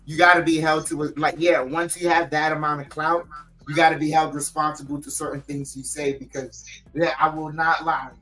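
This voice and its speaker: A man's voice: on the high side, little pitch movement, moderate pace, sharp tone, faint music behind, low-quality recording. A man co-hosting a wrestling podcast, blunt and cursing as he argues a point. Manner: blunt, swearing. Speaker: podcast co-host. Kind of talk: heated wrestling podcast talk.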